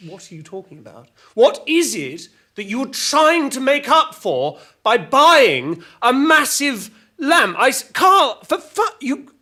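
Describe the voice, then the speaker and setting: A man playing a flustered, exasperated storyteller, halting and repeating himself through a comic monologue full of petty grievances. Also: very high-pitched, very animated, bright, forceful tone, full character voice. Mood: defensive, then exasperated outburst. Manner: halting, repetitive, stumbling over words. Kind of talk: rambling semi-improvised comic monologue with acted argument quotes.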